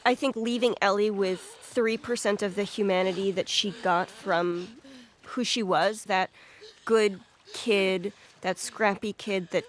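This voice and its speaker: A woman's voice: mid-range voice, steady tone, unhurried. A woman talking casually and analytically as a developer commenting on game cutscenes, earnest in the way she explains.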